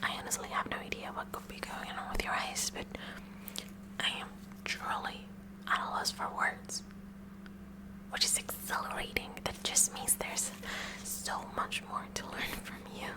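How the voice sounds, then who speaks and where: A woman whispering an ASMR roleplay as a kooky, eagerly curious high school science club president, with an inquisitive, hushed manner. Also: lightly acted, low-quality recording. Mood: fascinated. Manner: inquisitive, hushed, slow and deliberate examining.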